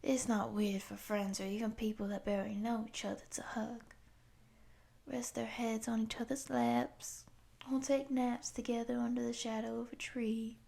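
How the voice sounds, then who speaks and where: A woman voicing a tall, gentle, friendly cowgirl monster in her thirties, soft, affectionate and apologetic, in an intimate audio roleplay. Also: a little high, moderate pace, gravelly. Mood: gentle, eager. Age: 30s. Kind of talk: intimate roleplay addressed to the listener.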